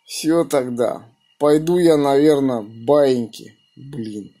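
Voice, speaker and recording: A man with a slightly husky voice, chatting casually in slangy speech and giving call signs as an amateur radio operator, recorded indoors on a phone. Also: mid-range voice, slow pace, mellow. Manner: colloquial, slangy. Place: small room indoors beside radio equipment. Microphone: phone held near the radio.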